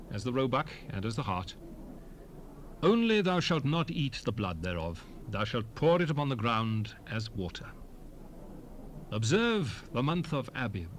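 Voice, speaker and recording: An actor playing a solemn lawgiver, proclaiming commandments in a measured, declamatory way for a dramatized King James Bible recording. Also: medium pitch, fairly bright tone. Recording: hissy 1970s stereo cassette rip.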